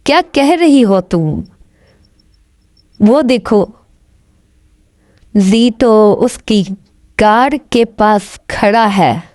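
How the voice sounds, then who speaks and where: A woman playing a friendly young university student in an audio drama, with casual, drawn-out delivery. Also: medium pitch, animated, darkish tone, no room sound, clean studio sound. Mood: concerned. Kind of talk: audio drama roleplay dialogue.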